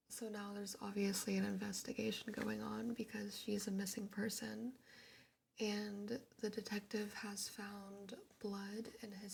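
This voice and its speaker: A woman whispering in a breathy voice, slowly reading a book aloud ASMR-style and chatting softly, close to the mic. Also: medium pitch. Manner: soft, slow, reads aloud while greeting chat. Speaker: ASMR streamer.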